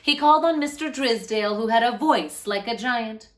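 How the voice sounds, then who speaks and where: A woman's voice: on the high side, moderate pace. A woman hosting a read-aloud for children, narrating expressively with a lilting delivery.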